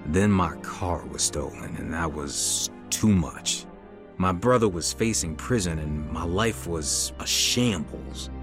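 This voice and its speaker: A man's voice: low-pitched, takes it slowly, over music. An actor playing a troubled young man who tells his testimony earnestly in a scripted radio drama. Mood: somber, reflective.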